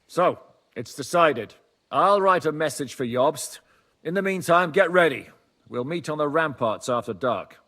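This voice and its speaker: Measured, high-voiced medieval commander. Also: male voice, lively intonation, bright, forceful tone, full character voice. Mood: grave, resolute. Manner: measured, authoritative, formal. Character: grave medieval commander planning a desperate mission. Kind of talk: dramatic game cutscene acting.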